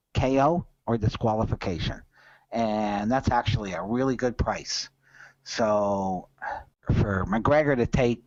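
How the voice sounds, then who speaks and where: A man's voice: mid-range voice, darkish tone, dry recording, dull audio. A man hosting a sports betting podcast in casual commentary, opinionated and emphatic, repeating his points for stress.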